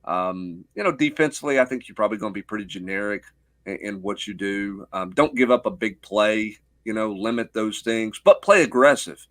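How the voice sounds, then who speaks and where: Low-voiced middle-aged sports analyst. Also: male voice, brisk, fairly bright tone, dry recording. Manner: explanatory, punctuated with rhetorical questions and check-in tags. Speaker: sports journalist guest.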